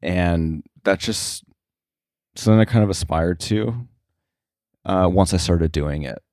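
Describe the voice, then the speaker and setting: A man with a very low voice speaks casually as a guest in an interview. He explains things thoughtfully, with some hesitation. The sound is dry, with hardly any room in it. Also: unhurried, a little mellow, no echo. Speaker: podcast guest, sound effects editor.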